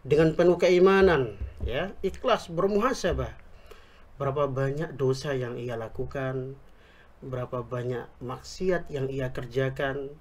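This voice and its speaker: A man explaining religious teaching on a talk show, speaking Indonesian with a Javanese accent picked up in Yogyakarta, quoting Arabic scripture in a measured way. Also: medium pitch, a little mellow. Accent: Javanese-tinged Indonesian picked up in Yogyakarta. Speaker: Islamic preacher, guest.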